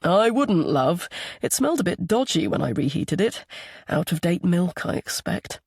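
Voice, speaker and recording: A woman's voice: lowish voice, very animated, normal speed, vocal fry, darkish tone, breathy edge, no room sound, studio quality, exaggerated voice. A woman voicing a friendly, welcoming, easygoing mother in an audiobook. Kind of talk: audiobook character dialogue.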